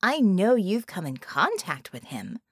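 A woman narrator acts out a cunning, bored villainess in an audiobook. She taunts in formal, theatrical phrases at a slow pace. Her pitch swoops around, and the sound is dry and close. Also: huge pitch swings, dry recording, exaggerated voice. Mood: taunting, probing. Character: caged villainess, haughty, mocking, cunning and bored.

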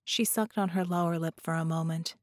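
A woman's voice: lowish voice, fairly even intonation, brisk, no echo, clean studio sound. A woman reading scripted fiction as an audiobook narrator.

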